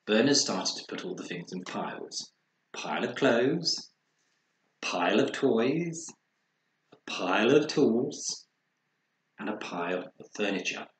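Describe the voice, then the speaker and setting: A man reading a children's story aloud expressively, with dramatic pauses and acted sound words. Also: lowish voice, expressive, a bit bright. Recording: roomy echo, faint background noise.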